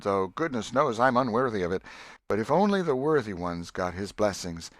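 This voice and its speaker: A man's voice: fairly low pitch, a bit bright, dry recording, studio quality, voice pushed up. A man voicing a pious, scolding yet affectionate old country aunt with a rural Southern American accent in an audiobook. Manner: exclamatory, folksy, emphatic. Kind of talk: audiobook character voice.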